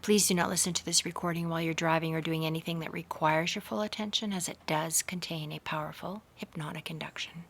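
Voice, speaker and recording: A woman leading a slow guided meditation in a measured, hushed voice that often softens into breathiness, recorded outdoors. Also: sits low, steady tone, creaky. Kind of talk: slow, soothing guided meditation with hypnotic induction. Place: outdoors in a garden. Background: faint outdoor garden ambience.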